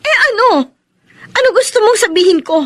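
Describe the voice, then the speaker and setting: An actress with a Filipino accent playing a troubled, evasive young wife in an emotional radio drama. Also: female voice, very high voice, animated, a little fast. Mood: distressed, pleading. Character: troubled young pregnant wife hiding a secret.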